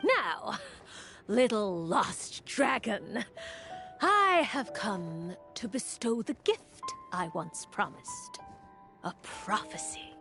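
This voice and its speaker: A woman's voice: mid-range voice, very animated, very slow, creaky, over music, exaggerated voice. A woman playing a proud, solemn witch prophetess in a video game, with a grand and haughty manner. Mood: grand, mysterious. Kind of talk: theatrical video game voice acting.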